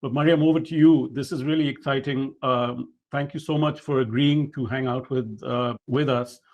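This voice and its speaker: A man's voice: mid-range voice, steady tone, moderate pace, darkish tone, highs cut off. A man hosts an online session. He hands over to the next speaker in a casual way, with a few ums and repeated words. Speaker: session host. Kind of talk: introducing a speaker.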